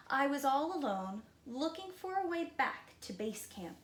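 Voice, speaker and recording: A woman preschool teacher reading a picture book aloud expressively, with dramatic slowed emphasis and hushed moments. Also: on the high side. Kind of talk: expressive children's read-aloud. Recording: roomy echo, light background noise.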